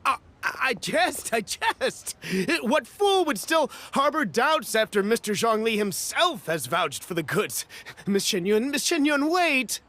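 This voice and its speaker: High-voiced, fawning nervous merchant. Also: male voice, very animated, unhurried, bright, forceful tone, exaggerated voice. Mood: panicked, apologetic. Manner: fawning, stammering. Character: nervous, ingratiating merchant man, eager and fretful. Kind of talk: anime game voice acting.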